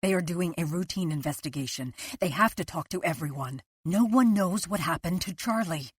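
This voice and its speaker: A woman's voice: fairly low pitch, animated, normal speed, slightly rough, no room sound, studio quality. A woman doing a stern, controlling husband. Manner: clipped, hushed commands. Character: controlling, tense husband hushing his wife. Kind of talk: audiobook character voice.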